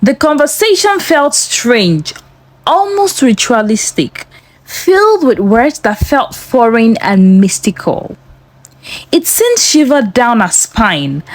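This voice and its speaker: Woman narrator, dramatic suspense storytelling, slow measured pace, dry close sound, scripted tale. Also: a little high, dry recording.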